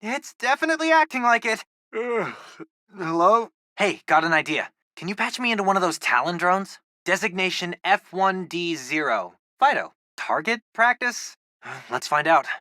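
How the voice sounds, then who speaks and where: A man's voice: sits high, pushed and bright, no room sound, clean studio sound. A young American man voicing an eager, geeky teenage boy, a loyal tech sidekick in a video game, informal and earnest. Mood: excited, focused.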